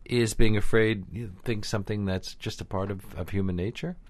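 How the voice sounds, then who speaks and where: A man's voice: on the low side, fairly even intonation, moderate pace, dry recording. A middle-aged man hosting a radio interview, polished and conversational. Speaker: radio host.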